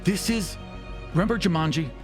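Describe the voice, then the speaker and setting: A man's voice: high voice, a little fast, voice pushed up, natural voice. A man playing an overexcited, stammering man in an improvised tabletop game, urgently insisting that magic is real. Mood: urgent pleading. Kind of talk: improvised tabletop roleplay dialogue. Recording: music behind the voice.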